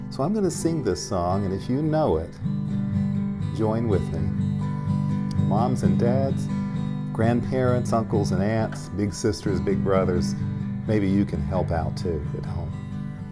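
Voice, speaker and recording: Low, slow-talking man reading to children. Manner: gentle, simple child-directed talk with frequent questions. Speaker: pastor reading stories to children. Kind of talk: read-aloud storytime for young children.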